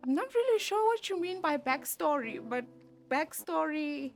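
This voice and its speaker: A South African woman in her twenties, talking in a soft, reflective way with many fillers and restarts during an interview. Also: high voice, animated, moderate pace, gravelly. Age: 20s. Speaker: interviewee, graphic designer. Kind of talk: relaxed personal interview. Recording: music bed playing behind voice.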